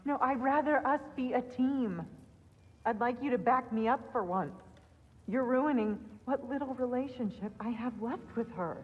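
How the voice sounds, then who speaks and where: A woman playing a stern, worried Norse goddess mother and wife in a dramatic game cutscene, firm and measured. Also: fairly high pitch, slightly rough, big-room sound, narrow, tinny audio. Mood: hurt, reproachful.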